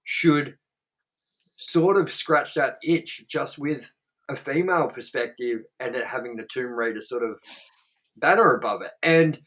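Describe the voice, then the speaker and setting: A man's voice: medium pitch, measured pace, a bit bright, low-quality recording. A man co-hosting a podcast, talking casually and at length, rambling with lots of fillers and swearing. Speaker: podcast co-host. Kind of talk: casual chat.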